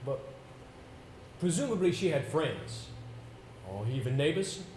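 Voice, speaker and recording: Casting: man as confident, persuasive young gentleman, theatrical stage delivery, slightly high pitch, roomy sound with faint noise. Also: sing-song, a little slow. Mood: inquiring. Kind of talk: amateur stage play acting. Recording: echoey stage recording with background hiss.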